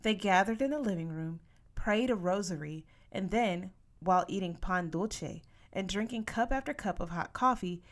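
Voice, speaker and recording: A woman reading a children's novel aloud as an expressive audiobook narrator. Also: mid-range voice, moderate pace. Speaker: audiobook reader.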